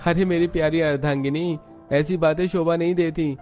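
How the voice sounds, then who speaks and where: A man voicing a cheerful, persuasive young husband and son in an animated family story. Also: fairly high pitch, normal speed, soft background music, pitched above the performer's own voice, natural voice. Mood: coaxing. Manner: upbeat, persuasive.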